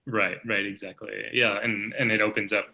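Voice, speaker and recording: A man's voice: fairly low pitch, flat delivery, moderate pace, bright, forceful tone, rough recording. A man hosting an interview, speaking earnestly with frequent fillers and restarts. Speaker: host.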